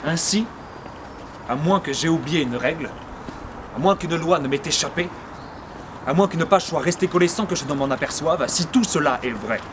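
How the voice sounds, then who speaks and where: A man acting a slightly mad man in a theatre monologue, reasoning earnestly and deliberately, like a pedant reciting calculations. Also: on the high side, expressive, pitched above the performer's own voice, natural voice. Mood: resolute, fervent. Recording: echoey room, distant mic, faint noise.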